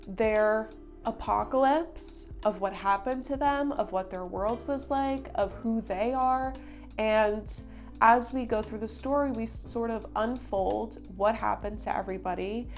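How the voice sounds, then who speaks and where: A woman's voice: mid-range voice, a little mellow, muffled recording. Stuffy-voiced woman reviewing a book. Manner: chatty, enthusiastic, thinks aloud. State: stuffy from a cold. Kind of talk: casual book review talk.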